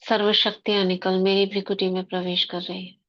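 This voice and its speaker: Slow, flat-voiced meditation guide woman. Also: mid-range voice, lo-fi sound. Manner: slow, soft, spoken in short phrases with long pauses.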